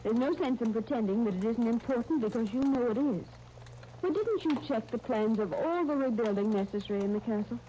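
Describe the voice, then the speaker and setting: Radio serial niece, played by an actress: young, encouraging, earnest, higher-pitched and soft-toned, on a hissy 1939 disc. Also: female voice, expressive, brisk, lightly acted. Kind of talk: 1930s radio serial acting. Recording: muffled, noisy 1939 radio transcription disc.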